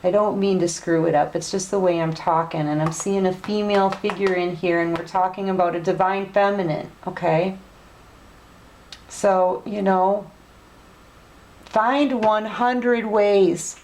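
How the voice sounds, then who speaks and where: Slow, chatty woman reading tarot. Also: mid-range voice, fairly even intonation, poor audio. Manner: chatty, direct, gently scolding. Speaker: tarot reader. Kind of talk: casual online tarot reading.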